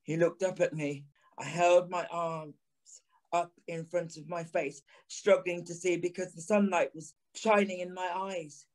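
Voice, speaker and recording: Audio drama lead: haunted ex-journalist signalman, higher male voice, slow and weighty delivery, grave, tormented intensity. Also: little pitch movement, poor audio. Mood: grave, regretful. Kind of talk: dramatic first-person audio drama narration.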